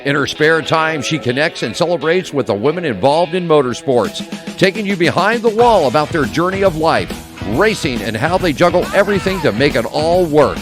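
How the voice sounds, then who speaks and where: A man's voice: a little high, animated, moderate pace. A man reading a punchy scripted podcast intro in an announcing manner. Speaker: show announcer. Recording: intro with music bed.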